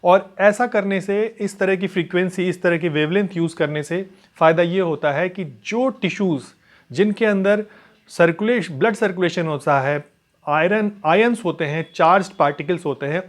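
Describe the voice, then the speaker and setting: A man with a fairly high-pitched voice gives a health tutorial. He speaks like a physiotherapist teaching lay viewers, explaining step by step and restating phrases to stress them. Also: moderate pace. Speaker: physiotherapist presenter.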